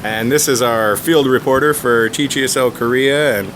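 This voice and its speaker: A man doing a casual interview as the interviewer, encouraging and quick to affirm. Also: medium pitch, background noise.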